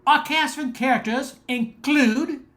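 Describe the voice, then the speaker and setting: A woman's voice: sits high, slow pace, fairly bright tone. An older woman reading scripted narration as the announcer of a radio play.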